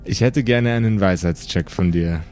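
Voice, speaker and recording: A man narrating an improvised tabletop game as game master, in a measured, suspenseful way with dramatic pauses. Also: low voice, darkish tone, faint music behind.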